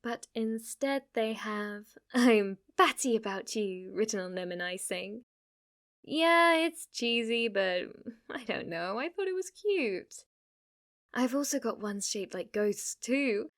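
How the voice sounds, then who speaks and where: A woman's voice: a little high, lively intonation, takes it slowly, a bit bright. A woman playing a playful, possessive, lovestruck witch in an ASMR roleplay, speaking to the listener in a teasing, cutesy way. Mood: coy, playful. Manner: teasing, cutesy, swinging between coy murmurs and eager outbursts.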